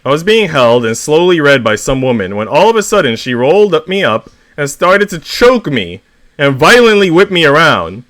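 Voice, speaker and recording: A man's voice: fairly high pitch, very animated, moderate pace, fairly bright tone, no echo, voice pushed up. A man voicing a shaken, affronted magazine that tells its story in an aggrieved, wounded way.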